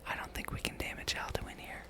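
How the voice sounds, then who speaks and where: Whispering ASMR gameplay streamer. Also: a little fast. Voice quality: breathy unvoiced whisper. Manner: hushed, playful musing, reads game dialogue aloud.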